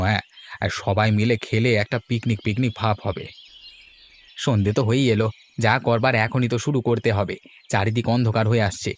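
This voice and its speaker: A man's voice: fairly low pitch, close to an everyday voice. A man voicing an emphatic, argumentative young village lad who schemes with friends in a cartoon story.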